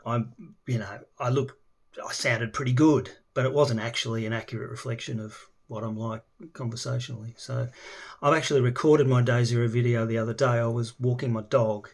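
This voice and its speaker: A middle-aged man with an Australian accent chats loosely about himself, stopping often to hunt for words and filling the gaps with ums. His voice sits at a middling pitch and carries a gravelly rasp at the ends of phrases. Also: steady tone, fairly quick. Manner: hesitant, many ums and restarts. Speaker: language learner. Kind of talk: casual self-introduction video.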